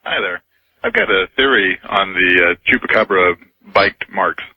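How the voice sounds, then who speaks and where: Deep, raspy radio caller. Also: male voice, normal speed. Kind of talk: casual theorizing on a call-in show. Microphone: telephone. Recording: dull lo-fi phone call on radio.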